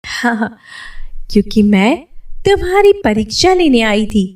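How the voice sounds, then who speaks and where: A woman voicing a wise, benevolent fairy in a children's story, speaking in a gentle, instructive way. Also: a little high, sing-song, measured pace, distant mic. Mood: kindly, solemn. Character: benevolent, wise female fairy who rewards kindness.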